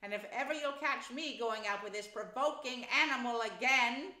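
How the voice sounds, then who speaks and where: A woman voices a practical, exasperated water rat from a storybook. He speaks firmly in a raised pitch and scolds like one who is in charge, with room echo around him. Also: normal speed, bright, forceful tone. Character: brisk, exasperated, no-nonsense male water rat.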